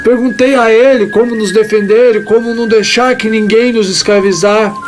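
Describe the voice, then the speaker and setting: A woman plays a devout little creature in an audio drama, speaking in a high voice over music. It recounts the tale of its saviour solemnly and reverently. The delivery is dramatic, measured and full of deliberate pauses. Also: fairly even intonation, lightly acted. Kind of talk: dramatic first-person scripted narration.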